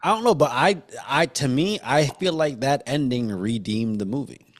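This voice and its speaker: A man's voice: mid-range voice, moderate pace. A man hosting a casual film podcast, steering the chat with friends in a slangy, conversational way. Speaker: podcast host steering the discussion.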